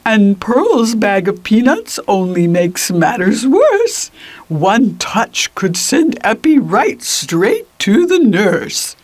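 A woman's voice: medium pitch, big pitch swings, slow, a little mellow, dry recording. A woman voicing a stern animal schoolteacher from a storybook, with an emphatic, commanding manner.

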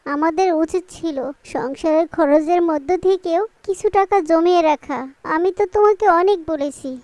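A woman voicing a worried, caring young mother and wife in a cartoon, coaxing and gently chiding. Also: very high voice, unhurried, dry recording.

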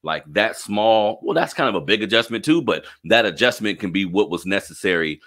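A man's voice: on the low side, animated, brisk. A male podcast host affirming his guest, calling him brother, speaking into a studio microphone in a small home studio. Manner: affirming, warm brotherly encouragement. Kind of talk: casual interview. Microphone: studio mic on stand.

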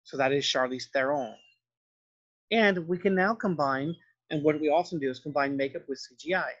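A man gives an informal, improvised lecture in a fairly high voice. It has a husky edge, and the recording is muffled and lo-fi. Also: moderate pace, a bit bright. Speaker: art history lecturer. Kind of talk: informal lecture narration over slides and clips.

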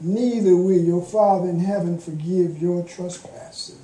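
An older man preaching a sermon from a church pulpit with a deliberate, emphatic cadence. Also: sits high, steady tone, dark tone. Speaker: guest preacher, minister. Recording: echoey church room.

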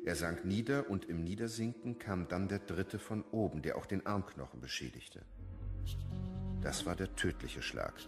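An actor playing a matter-of-fact homicide inspector in a crime radio drama, measured, official and blunt. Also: male voice, low-pitched, loud music behind the voice. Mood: clinical, blunt.

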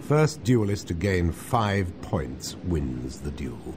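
An actor playing a stern, sinister wizard teacher in a video game, with a drawling, menacing manner. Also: male voice, deep voice, very animated, takes it slowly, over loud music, heavily acted. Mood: cold, commanding. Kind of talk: video game cutscene acting.

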